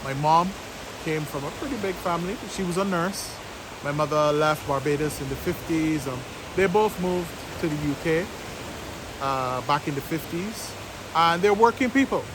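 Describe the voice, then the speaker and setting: Heavy wind and sea noise surrounds a man in his fifties with a slightly high voice. He explains things informally to camera from outdoors. His delivery is deliberate, broken into short phrases with pauses. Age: 50s. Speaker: vlog host, business owner. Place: outdoors by the sea, west coast of Barbados. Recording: loud wind noise on microphone.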